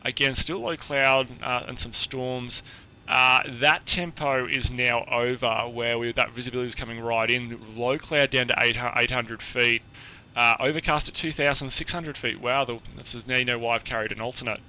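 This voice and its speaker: Hoarse man briefing a flight. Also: mid-range voice, steady tone, moderate pace, bright, forceful tone, rough recording. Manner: chatty, explanatory, many filler words. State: hoarse, husky. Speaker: flight sim streamer. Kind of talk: live-streamed flight briefing.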